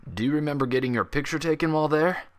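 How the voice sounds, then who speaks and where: A man voicing a composed male unicorn doctor in a story reading, measured, patient and authoritative. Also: on the high side, pitched above the performer's own voice, close to an everyday voice. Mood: measured, probing.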